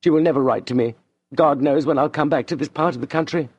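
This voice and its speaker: A man reading an audiobook and voicing a calculating young man with an earnest, measured, resolute delivery. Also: on the high side, husky, no echo, voice pushed up. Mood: anxious. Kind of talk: audiobook reading of a classic novel.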